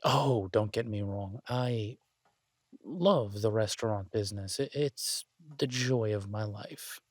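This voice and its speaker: A man playing an exasperated restaurant chef venting to his partner in a halting, grumbling audio roleplay. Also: on the low side, no echo, clean studio sound. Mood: weary, wry. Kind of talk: boyfriend audio roleplay, conversational monologue.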